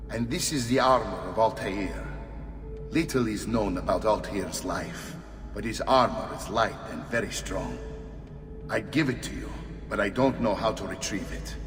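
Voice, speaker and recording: Man doing an older italian uncle assassin, low solemn storyteller voice, italian accent, bit far off in a big hall. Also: natural voice. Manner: weighty, storytelling, paternal.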